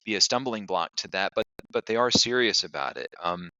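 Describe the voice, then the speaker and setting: Very bright, forceful low-voiced man. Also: expressive, fairly quick, no room sound, lo-fi sound. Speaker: podcast guest, storyteller. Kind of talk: casual, reflective interview talk.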